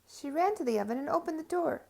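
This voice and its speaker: A woman storyteller reading a children's tale aloud with expressive, emphatic phrasing. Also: sits high, fast talker, creaky.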